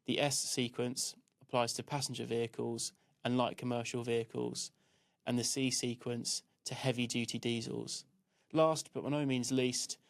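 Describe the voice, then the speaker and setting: Even-pitched male training narrator. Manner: measured, instructional. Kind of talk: scripted narration.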